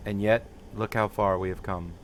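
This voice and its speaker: A man's voice: fairly low pitch, fairly even intonation, normal speed, slight background noise, natural voice. A man voicing an earnest, determined young female adventurer from a fantasy game, in formal, old-fashioned speech. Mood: remorseful, hopeful.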